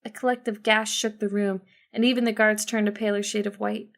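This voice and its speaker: A woman's voice: mid-range voice, level tone, brisk, gravelly. Woman narrating a first-person story. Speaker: audiobook narrator.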